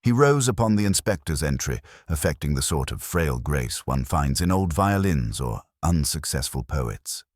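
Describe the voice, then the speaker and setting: Very deep-voiced male story narrator, dryly wry. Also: no room sound, clean studio sound. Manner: measured, wry, deadpan. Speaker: audiobook narrator. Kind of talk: dry, scripted mystery story narration.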